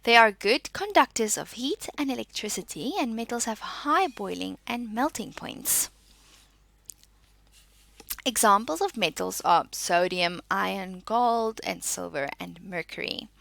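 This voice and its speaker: A woman teacher with a bright, forceful voice that carries a noticeable creak. Her pitch moves in a lively way as she reads out and explains lesson material in short, deliberate statements. She takes her time, keeping an instructive manner. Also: dry recording. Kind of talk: spoken lesson, reading out and explaining.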